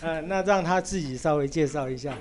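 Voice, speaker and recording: A man's voice: on the high side, fairly quick, background noise. A man leading a church service, praying fervently and then introducing the next speaker informally. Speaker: service leader.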